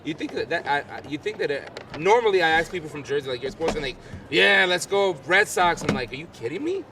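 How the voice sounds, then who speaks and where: Young male host, rapid, eager chatter, off-mic with heavy background noise. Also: on the high side, sing-song, distant mic. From the Bronx. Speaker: interviewer host. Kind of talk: casual livestream interview.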